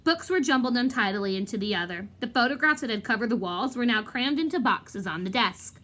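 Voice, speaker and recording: A woman who teaches young children, speaking in an expressive read-aloud style. Her voice is fairly high and moves around a lot in pitch, with an informal classroom feel. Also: a little fast. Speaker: fourth grade teacher. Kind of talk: expressive read-aloud narration and casual address to pupils.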